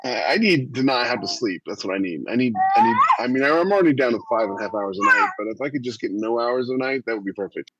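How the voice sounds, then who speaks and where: Fast-talking man on a muffled, noisy mic. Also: medium pitch, expressive, fairly bright tone. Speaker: podcast co-host, writer. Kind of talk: casual chat.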